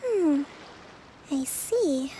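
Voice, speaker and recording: A woman voicing a calm, shrewd young female leader in a video game, who speaks in a measured, thoughtful way. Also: high-pitched, a little hiss or hum, heavily acted, rough recording. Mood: reasoning.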